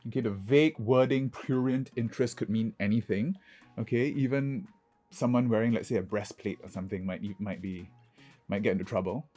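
A man with a husky voice, a drag queen giving chatty, casual vlog commentary and often checking in with "okay". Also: lowish voice, brisk, dark tone, soft background music. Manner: chatty, punctuates points with "okay".

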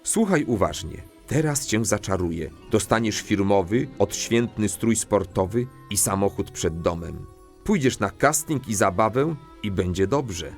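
A man's voice: on the low side, lively intonation, measured pace, faint music behind. A man reading formal voice-over translations of slang lines in a comic audio drama. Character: formal lector with literal, proper phrasing.

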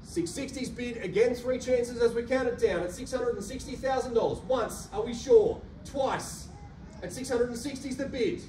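A man's voice: very high voice, warm tone. Australian auctioneer man calling bids. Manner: rhythmic rapid-fire bid calling, cajoling buyers. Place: carpeted function room indoors. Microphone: handheld mic, filmed by a far camera. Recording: roomy echo from a distant camera, faint hum.

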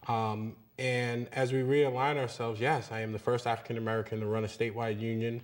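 A man's voice: medium pitch, little pitch movement, brisk. A man in his thirties, a union leader speaking earnestly as a guest in a TV studio interview. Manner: earnest, repeats words when emphasizing. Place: small TV studio.

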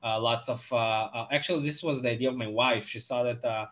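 Young male guest, halting delivery with restarts, desk mic in a home office. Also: mid-range voice, steady tone, fairly bright tone. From Moldova. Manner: halting, many fillers and restarts. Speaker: guest, e-commerce entrepreneur. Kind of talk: casual video-call interview.

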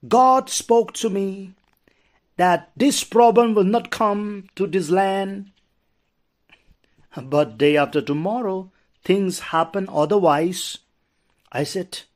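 Man, middle-aged pastor, passionate sermon, lapel mic, higher voice, unhurried delivery. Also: a little mellow. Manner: impassioned preaching with repeated restarts and rising emphasis. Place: wood-paneled church pulpit indoors, later outdoors on a hillside.

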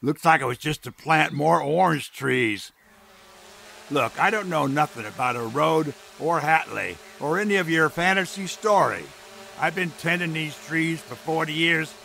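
An actor playing a suspicious, prickly old farmer in an audio drama, folksy and blunt. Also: male voice, fairly high pitch, a little slow, vocal fry, sharp tone, a little hiss or hum, heavily acted. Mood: defensive, stern. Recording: faint outdoor insect ambience behind.

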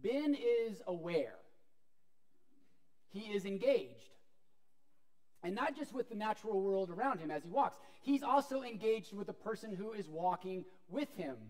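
A middle-aged man preaching a sermon as a pastor, telling an animated story while moving about a church sanctuary. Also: high-pitched. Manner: animated storytelling with wide gestures, walking about.

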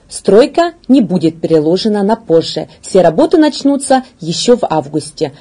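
A woman in her thirties reads scripted news as a presenter. Her voice is soft-edged and husky, with a dark, relaxed tone. Her pitch moves up and down in a very lively way as she stresses key words. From Ukraine. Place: small indoor video studio.

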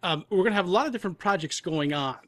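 A man's voice: high voice, animated, rapid-fire, dry recording. A man giving conversational interview answers as a guest producer, explaining things at length in rambling detail. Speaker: audio drama producer and composer, guest.

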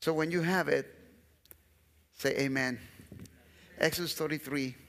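A man's voice: a little high, fairly even intonation, measured pace, fairly bright tone. A middle-aged man from Guatemala with a Guatemalan Spanish accent, a guest pastor preaching an earnest sermon on a large church stage, often repeating his phrases for emphasis. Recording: long church hall reverb.

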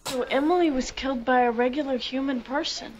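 A woman's voice: sits high, brisk, natural voice. A woman acting a young woman in a television mystery drama. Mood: earnest. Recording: dull, noisy replayed TV audio.

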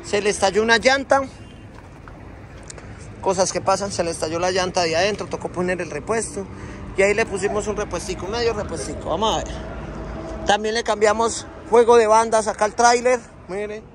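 A man's voice: high voice, fairly quick, noisy background. A man in his thirties with a Colombian accent, chatting affectionately while filming his trucks with a phone at arm's length. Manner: chatty and affectionate, full of diminutives. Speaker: trucking company owner and vlogger. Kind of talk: casual vlog walkaround. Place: outdoor truck yard and truck cab. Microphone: phone camera held at arm's length.

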